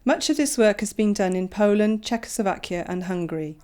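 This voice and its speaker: A middle-aged woman from Wales, an artist reading a calm, measured, reflective voice-over about her own work. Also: medium pitch, smooth. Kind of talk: scripted voice-over narration.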